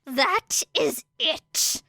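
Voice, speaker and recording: Woman doing a slow seething villain guy, high strained voice, grinds every word out. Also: animated, creaky, bright, forceful tone, dry recording, clean studio sound, heavily acted. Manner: slow, grinding, threatening delivery. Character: menacing young male villain, seething and cruel.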